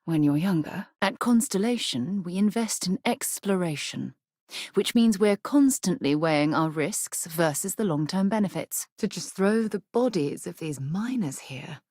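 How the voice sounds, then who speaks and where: Husky, composed woman space explorer. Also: fairly low pitch, expressive, a little slow, a little mellow, no room sound, studio quality. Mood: composed, earnest. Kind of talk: video game voice acting.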